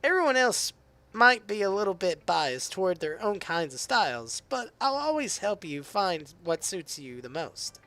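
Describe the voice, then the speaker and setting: A man's voice: sits high, big pitch swings, normal speed, pushed and bright, no room sound, heavily acted. A man reading as a poised schoolgirl club president. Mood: encouraging. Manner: reads visual novel dialogue aloud. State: hoarse. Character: poised teenage girl literature club president.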